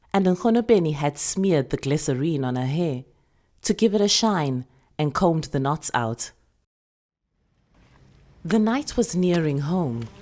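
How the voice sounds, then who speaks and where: A woman with a South African accent reading a literary short story in a dramatic, deliberate, expressive way. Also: deep voice.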